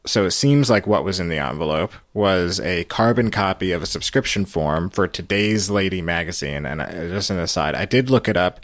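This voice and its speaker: A man's voice: sits low, expressive, moderate pace, few pauses, dry recording. An American man hosting a podcast, chatting casually through a recap. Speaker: podcast host.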